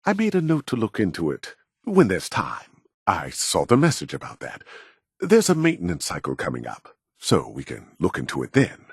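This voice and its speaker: Deep, husky, arrogant male scientist. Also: huge pitch swings, dry recording, studio quality, exaggerated voice. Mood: busy, businesslike. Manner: measured, formal, self-important, condescending. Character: proud, arrogant middle-aged male robotics scientist. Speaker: video game character voice actor. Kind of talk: scripted video game dialogue.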